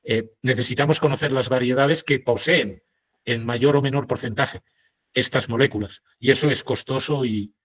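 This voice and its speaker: Man, husky voice, mid pitch, online panelist, explanatory and repetitive. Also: normal speed.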